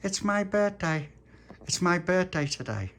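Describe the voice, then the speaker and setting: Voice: mid-range voice, lively intonation, unhurried, a bit bright, pitched above the performer's own voice. A storyteller voicing a small, plaintive, easily wounded birthday boy. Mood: proud, hopeful.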